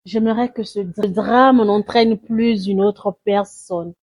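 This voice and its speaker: A woman recites a poem dramatically, in a solemn, mournful and deliberate way, swelling and hushing her phrases. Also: mid-range voice, steady tone. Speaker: poetry reciter. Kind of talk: dramatic spoken-word poetry recitation.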